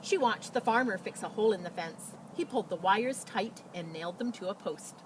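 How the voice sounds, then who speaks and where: A woman speaking as a school storyteller outdoors, explaining and reading in a simple, animated way for children. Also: mid-range voice, a little fast. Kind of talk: casual introduction and expressive children's read-aloud. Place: outdoors beside a chicken coop. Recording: noisy outdoor recording with animal sounds.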